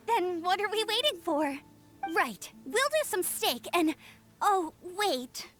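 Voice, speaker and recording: A woman voicing a cheerful, eager young female scout in a video game, with a perky, expressive, chatty manner. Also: very high voice, full character voice. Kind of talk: video game character dialogue.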